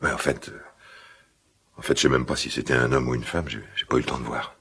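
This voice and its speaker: An actor playing a middle-aged father in a radio drama, guilt-ridden and awkwardly tender, speaking hesitantly in a gravelly smoker's voice. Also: very deep voice, fairly even intonation, bright, forceful tone, heavily acted. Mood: haunted, confessing. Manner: hesitant, halting, confiding. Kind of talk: naturalistic French radio drama dialogue.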